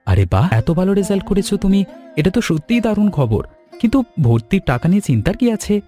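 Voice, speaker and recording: A man voicing a kind, generous gentleman customer in a cartoon story, speaking earnestly and with feeling. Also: sits high, fast talker, faint music behind. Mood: delighted. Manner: expressive, earnest, caring. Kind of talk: animated cartoon story dialogue.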